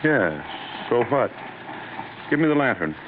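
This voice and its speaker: An actor playing a cold, domineering scientist husband in an old-time radio horror drama, speaking with clipped contempt. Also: male voice, mid-range voice, very animated, unhurried. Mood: scornful. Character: cold, selfish scientist husband, scornful and irritable. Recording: noisy, tinny old radio recording.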